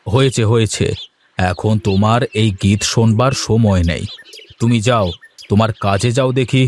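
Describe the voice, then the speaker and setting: A man's voice: lowish voice, moderate pace, darkish tone, slight background noise, close to an everyday voice. A man voicing an admonishing, plain-spoken village father in a cartoon. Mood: dismissive. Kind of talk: cartoon dialogue acting.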